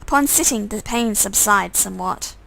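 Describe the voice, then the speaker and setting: A woman's voice: medium pitch, moderate pace, vocal fry, bright, forceful tone, low-quality recording. A woman streamer reading a visual novel game aloud as second-person story narration.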